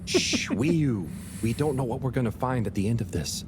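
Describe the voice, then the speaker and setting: Earnest everyman game hero, lively pitch, hesitant, mildly grumbling, noisy background. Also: normal speed. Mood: reluctant, resolved. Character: earnest, reluctant working-man hero of a game. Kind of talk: video game voice acting. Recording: clear background noise.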